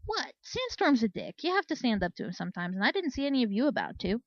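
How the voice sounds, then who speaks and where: A woman's voice: a little high, big pitch swings, fast, no room sound, dull audio, voice pushed up. A woman voicing a brash, breezy Pegasus soldier mare in a storybook, blunt and unbothered.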